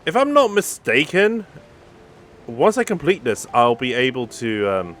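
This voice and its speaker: Animated man giving casual gameplay commentary. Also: on the high side, measured pace. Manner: offhand asides and murmured reactions. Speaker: video game streamer. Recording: faint game music behind the voice.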